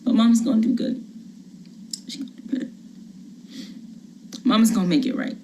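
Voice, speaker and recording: An actress playing a desperate young mother who softly pleads with her small son to put down a gun, then turns angry, acting a monologue over a video call. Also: a little high, fairly even intonation, a little fast, whispered, lightly acted. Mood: tender remorse. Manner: shifts from soft pleading to angry threats. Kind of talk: acted dramatic monologue.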